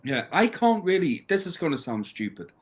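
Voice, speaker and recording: A man's voice: on the high side, big pitch swings, moderate pace. A man hosting a casual chat, talking bluntly and swearing freely. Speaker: podcast host.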